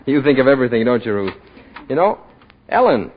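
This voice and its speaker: An actor in a 1940s radio drama playing an earnest, devoted young husband, hearty and solicitous. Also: male voice, mid-range voice, big pitch swings, normal speed. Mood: cheerful. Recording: muffled 1940s radio recording.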